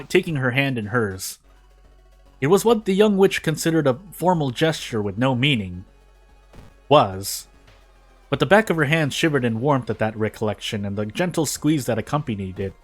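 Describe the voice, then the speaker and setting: A man reads narrative prose aloud in an informal way, at an average male pitch. He sometimes trips on words and goes back over them, with music playing faintly behind him. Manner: stumbles over words and rereads phrases. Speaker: fan fiction reader on a gaming video. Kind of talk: informal read-aloud of a story.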